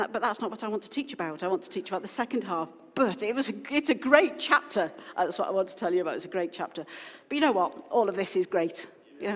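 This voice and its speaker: Lady pastor chatting thru a sermon, mid pitch, lots of asides, big echoey hall. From Wales. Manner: chatty, rambling, with frequent restarts and asides. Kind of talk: informal sermon to a congregation. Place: church hall. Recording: long hall reverb.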